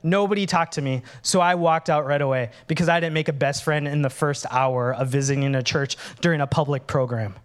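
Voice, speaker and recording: High-voiced animated man preaching. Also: a little fast, few pauses. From Minnesota, USA. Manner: animated, candid, humorous, acts out dialogues. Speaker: pastor. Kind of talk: sermon.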